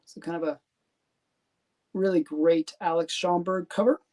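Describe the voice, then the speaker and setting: A Canadian woman with a Canadian accent hosting a live stream, talking in a rambling, halting way with lots of fillers and restarts. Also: on the low side, steady tone, mellow. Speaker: comic collector host. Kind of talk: casual live stream show-and-tell.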